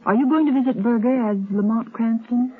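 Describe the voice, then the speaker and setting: A woman's voice: a little high, a little fast, a little hiss or hum, lightly acted. An actress playing a sensible female companion to a sleuth, earnest and inquisitive, in 1930s radio style. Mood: skeptical, curious. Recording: tinny, band-limited 1930s radio recording.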